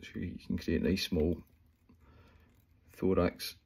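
A Scottish man in his forties talks through tying a fly, relaxed and unhurried, with lots of ums along the way. His voice sits low and a little husky. He is recorded close up on a phone in a small room at home. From Scotland. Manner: relaxed, explains step by step with fillers while working. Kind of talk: casual talk-through tutorial. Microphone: phone camera close up.

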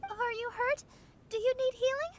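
A woman voicing a tiny, excitable, wide-eyed girl in a cartoonish game, squeaky and childlike. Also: normal speed, a little hiss or hum. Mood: curious, concerned. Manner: exclamatory, wide-eyed questioning.

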